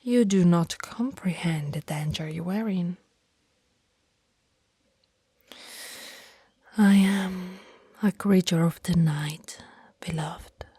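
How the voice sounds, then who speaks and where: Ancient female vampire played by a woman, tender and mournful, low breathy whisper for ASMR roleplay, unhurried. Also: dark tone, no echo, studio quality, heavily acted. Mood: tender, melancholy. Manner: breathy, slow, archaic formal phrasing with sighs. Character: centuries-old lovestruck female vampire, sorrowful and tender.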